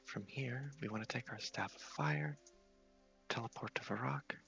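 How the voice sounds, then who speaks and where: A man hosting an ASMR game walkthrough, speaking softly and often dropping into a half-whisper. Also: lowish voice, big pitch swings, normal speed, vocal fry, muffled recording. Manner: soft-spoken, half-whispered. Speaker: host of a gaming series. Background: music.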